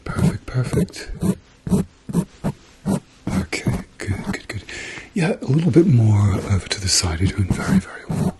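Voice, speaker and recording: A middle-aged man doing a softly spoken ASMR role-play as a doctor, murmuring reassurance to a patient. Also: lowish voice, very animated, measured pace, long pauses, background noise. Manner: reassuring, murmured repeated encouragement.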